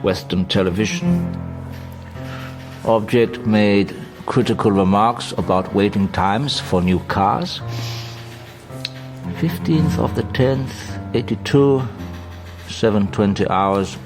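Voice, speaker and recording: Cold East German informant, very low voice, formal clipped report, loud music underneath. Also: male voice, slow pace, long pauses. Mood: cold, official. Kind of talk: radio drama monologue. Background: music bed.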